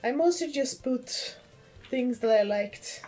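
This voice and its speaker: Female game streamer, Polish accent, unhurried and soft-edged, fairly high voice, easygoing chat with faint music. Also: expressive. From Poland. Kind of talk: casual livestream game chat.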